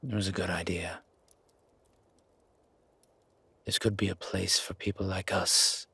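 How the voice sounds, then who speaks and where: A deeply raspy, low-voiced male werewolf, acted out by a man, gruff and protective of his home. He speaks slowly in a narrow, level register with dry, wary restraint. It suits an intimate roleplay audio drama. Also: little pitch movement, pushed and bright, no room sound, heavily acted. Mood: reflective. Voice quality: husky, gravelly rasp. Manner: slow, measured, dry, guarded.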